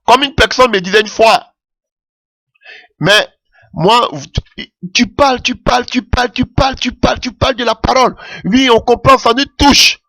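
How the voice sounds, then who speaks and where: A man's voice: steady tone, moderate pace, long pauses, bright, forceful tone, no room sound. A man preaching a sermon with passion, calling out alleluia often as his voice rises. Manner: impassioned, rising pitch with frequent alleluias.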